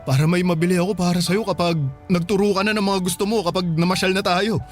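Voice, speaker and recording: A man's voice: sits high, steady tone, fast talker, soft background music, natural voice. A man playing a shy, smitten young Filipino market porter in a radio drama, coaxing and pleading. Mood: shy, earnest. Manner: pleading, sheepish.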